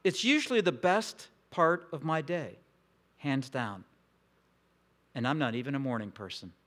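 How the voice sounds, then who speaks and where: A man preaching a sermon in a slow, reflective, intimate way with long pauses. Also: medium pitch, expressive, a bit bright. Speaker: pastor.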